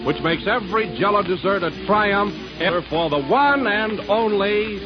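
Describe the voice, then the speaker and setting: A man's voice: high voice, lively intonation, moderate pace. A man reading scripted 1930s radio ads and announcements with polished, emphatic salesmanship. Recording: muffled 1930s radio recording, music, crowd.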